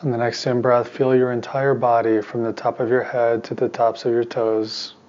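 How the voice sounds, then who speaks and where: A man teaching meditation in a soft voice, giving calm, soothing instructions in short, spaced phrases. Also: mid-range voice, normal speed, lo-fi sound. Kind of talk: guided meditation.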